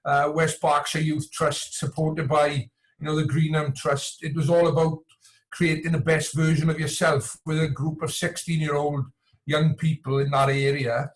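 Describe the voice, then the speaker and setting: A man's voice: fairly high pitch, flat delivery, moderate pace, rough recording. A man from Wales speaking as a coach in a conversational interview, often circling back and repeating words with plenty of "you know". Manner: hesitant, repetitive, frequent "you know". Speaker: coach and entrepreneur, podcast guest.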